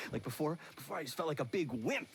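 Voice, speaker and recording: An actor playing an excitable, goofy tech sidekick in a video game, informal and animated. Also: male voice, on the high side, a little hiss or hum. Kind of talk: video game cutscene acting.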